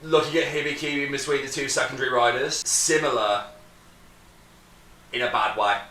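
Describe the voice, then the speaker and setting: A man with a medium-pitched voice talks casually and shares his opinions. He sounds set back from the microphone. The room rings with echo around him. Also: steady tone, normal speed, sharp tone, distant mic, a little hiss or hum. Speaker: guest friend giving opinions. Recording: echoey room, away from mic.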